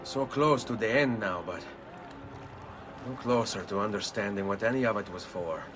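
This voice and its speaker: A brooding young assassin from a video game , acted by a man, with a low voice and an Italian accent. He speaks gravely and earnestly, a little away from the mic, with music clearly behind him. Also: moderate pace, recorded from a distance, natural voice. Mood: bitter, weary. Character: brooding, vengeful Italian assassin in his late twenties. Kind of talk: video game cutscene acting.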